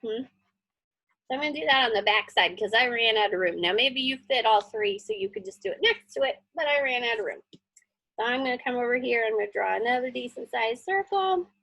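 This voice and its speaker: Woman, children's teacher. High, husky voice, chatty drawing instruction with frequent check-in questions, close dry sound. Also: expressive, a little fast, sharp tone, no room sound, low-quality recording.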